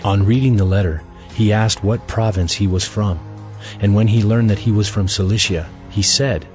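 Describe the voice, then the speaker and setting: A man's voice: lowish voice, normal speed, darkish tone, faint music behind. A man narrating scripture as a scripted audio Bible reading.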